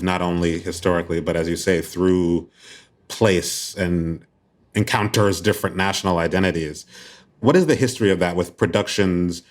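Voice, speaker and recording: Low-voiced American radio host. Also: male voice, dry recording. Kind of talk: scripted public radio narration and interviewing.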